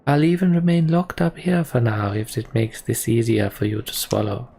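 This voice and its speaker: A man voicing a scheming, menacing imprisoned manipulator in a video game, deliberate and taunting as he makes his speeches. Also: mid-range voice, fairly even intonation, vocal fry, dark tone. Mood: smug persuasion. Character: scheming, menacing male prisoner and political manipulator. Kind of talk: dramatic reading of RPG dialogue.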